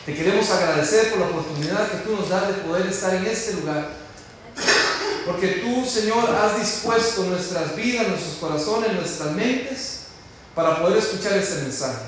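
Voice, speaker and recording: A Costa Rican man preaches in a high voice, pressing his points with questions to the congregation. The sound rings through a very echoey church hall, with faint noise underneath. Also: normal speed. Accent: Costa Rican Spanish. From Costa Rica. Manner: emphatic, asks the congregation questions. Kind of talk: church teaching talk with prayer.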